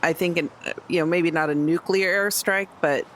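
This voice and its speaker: A man's voice: on the high side, fairly even intonation, normal speed, a bit bright, slight background noise. A man talking casually as a player in a tabletop roleplaying game.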